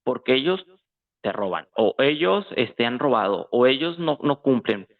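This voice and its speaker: A man with a Mexican accent talks informally as a guest on a thin, phone-like line. His medium-pitched voice has a gravelly fry, and he often gropes for the next word. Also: big pitch swings, moderate pace. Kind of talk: casual political discussion. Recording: tinny, phone-like call audio.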